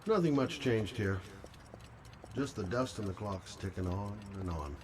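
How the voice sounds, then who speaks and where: Low-key private investigator, noisy background. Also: male voice, animated, a little slow, a little mellow. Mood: relaxed, friendly. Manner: polite, casual, low-key. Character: easygoing male private investigator, ex-policeman. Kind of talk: video game dramatic acting.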